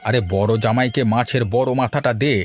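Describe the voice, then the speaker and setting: A man's voice: mid-range voice, fairly even intonation, a little fast, natural voice. A man voicing a fussy, chiding village father in a melodramatic cartoon, speaking in exclamations. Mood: brusque, chiding. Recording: music behind the voice.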